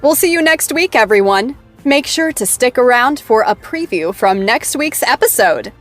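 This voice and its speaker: A woman's voice: a little high, expressive, normal speed, faint music behind, close to an everyday voice. An actress playing a bubbly young talk show host who teases her guest and her fans in a playful, theatrical way. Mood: upbeat teasing.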